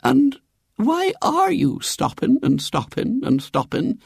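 A man voicing a frail, mischievous elderly great-aunt in a story reading, with a shrill, gleeful voice. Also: wildly swooping pitch, slow pace, vocal fry, slightly rough, no echo, clean studio sound, full character voice. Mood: amused. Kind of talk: audiobook character voice.

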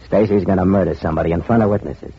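A man's voice: sits low, very fast, slightly rough, full character voice. An actor playing a scheming, contemptuous male gang boss who bullies his henchman, in an old radio drama. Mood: scornful. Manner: contemptuous, bullying. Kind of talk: 1940s radio acting. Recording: dull lo-fi old radio recording.

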